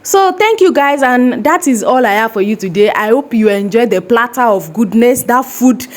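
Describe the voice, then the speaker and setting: A woman's voice: on the high side, normal speed. A young Nigerian woman with a Nigerian accent, chatting casually in her vlog and telling stories in Nigerian English. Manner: lively storytelling with Nigerian English phrasing. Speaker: vlogger.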